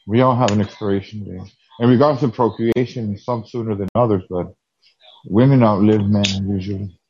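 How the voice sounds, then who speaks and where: A man calling in to a stream, calm and reassuring in casual chat. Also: lowish voice, moderate pace, dull audio, a little hiss or hum. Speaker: caller.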